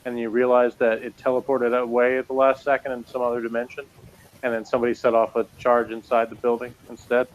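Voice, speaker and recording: Man, co-host, dry sarcastic joker, even mid pitch, dull recording. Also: quick pace, slight background noise. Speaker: podcast co-host. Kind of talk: casual review chat. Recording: dull lo-fi sound.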